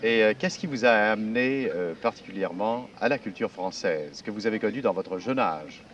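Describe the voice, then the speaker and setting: A man's voice: lowish voice, lively intonation, moderate pace, a bit bright. A man interviewing students for radio, asking formal, polite questions. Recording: distant dull 1960s radio archive.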